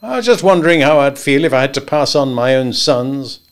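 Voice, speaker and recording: A man's voice: medium pitch, expressive, a little fast, natural voice. A man voicing a jovial older male draft-board official who talks in a breezy, coaxing way. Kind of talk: audiobook character voice.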